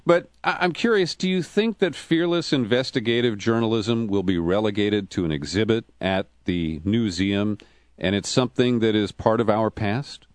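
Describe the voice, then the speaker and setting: A man's voice: fairly low pitch, normal speed, no echo. A man hosting a radio interview, asking questions with a wry, dry humor.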